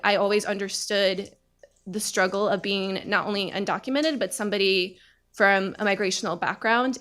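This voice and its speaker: A woman in her thirties moderating a panel discussion, speaking in an earnest, measured way with frequent pauses. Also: mid-range voice, a bit bright. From United States.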